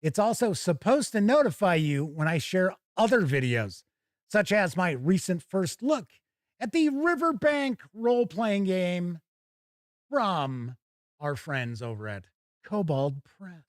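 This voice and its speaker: An American man hosting a casual livestream, chatting to viewers in a folksy, rambling way with drawn-out phrases. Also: high-pitched, very animated, no room sound, studio quality. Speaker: host of a tabletop gaming livestream.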